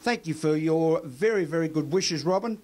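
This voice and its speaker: An Australian man presents a community TV news bulletin, with a voice pitched a little higher than usual for a man. He speaks in a measured, formal way. He reads out the local weather and asks interview questions. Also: a little mellow.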